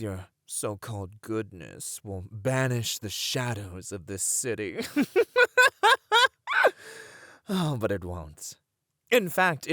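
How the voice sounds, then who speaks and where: A man playing a gloating, seductive supervillain in an ASMR roleplay, theatrical and grandiose, savoring words and often dropping to a breathy near-whisper. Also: lowish voice, no echo, studio quality, heavily acted. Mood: gloating, taunting. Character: gloating seductive male supervillain taunting a caged hero. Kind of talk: ASMR villain roleplay monologue.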